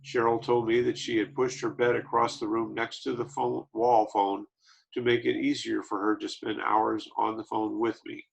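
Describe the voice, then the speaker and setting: A man reading a memoir aloud, slow and measured with small slips. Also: mid-range voice, flat delivery, gravelly, low-quality recording. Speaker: reader of an autobiography.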